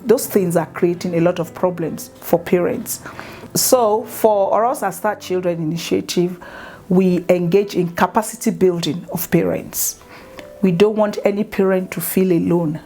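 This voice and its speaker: A woman from Nigeria with a Nigerian accent, speaking earnestly about her charity's work in a measured way, with some fillers and restarts. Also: lowish voice, mellow. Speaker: charity founder. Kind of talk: promotional talk to camera.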